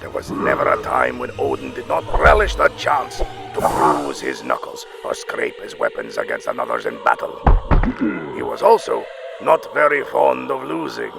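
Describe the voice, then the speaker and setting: A man's voice: extremely low pitch, wildly swooping pitch, normal speed, gravelly, over loud music, full character voice. A man playing a solemn storyteller, giving a grave, dramatic scripted narration of myths. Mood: grave, ominous.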